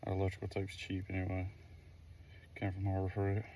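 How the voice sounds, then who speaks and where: Guy, kinda deep voice, laid back diy vlog talk outside, bit of noise behind him. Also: monotone, fairly quick, warm tone. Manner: folksy, easygoing, thinking aloud as he works. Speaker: vlogger doing a DIY car project. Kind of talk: casual how-to vlog chat. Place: outdoors at a vehicle, some wind. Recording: noisy outdoor recording with ambience.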